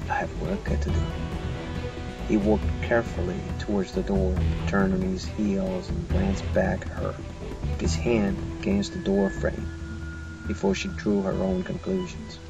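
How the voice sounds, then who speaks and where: Low, gravelly male audiobook narrator. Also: level tone, measured pace. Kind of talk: dramatic scripted narration. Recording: muffled recording with music behind. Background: music.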